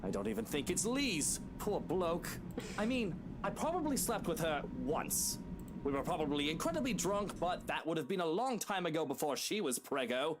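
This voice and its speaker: A woman's voice: on the low side, sing-song, normal speed, music in the background, pitched below the performer's own voice. A woman voicing a cocky, defensive husband in a brash, dramatic way for a visual novel game. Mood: defensive, flippant. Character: cocky, defensive British husband, flustered young man.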